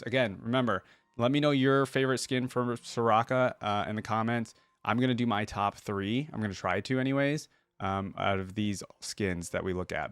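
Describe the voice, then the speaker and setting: A man giving casual reaction commentary as a video host, talking informally and thinking out loud. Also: medium pitch, no echo.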